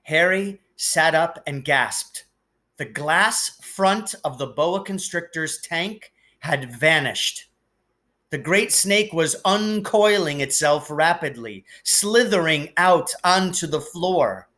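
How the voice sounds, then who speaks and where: A man narrates a children's novel in a bright, forceful voice pitched a little high. He takes his time, reading expressively with measured, deliberate phrasing. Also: lively intonation. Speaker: storybook reader. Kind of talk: expressive audiobook-style narration.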